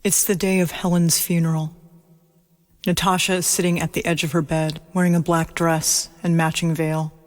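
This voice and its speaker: A woman's voice: on the low side, level tone, moderate pace. A woman reading scripted narration for a horror audio drama.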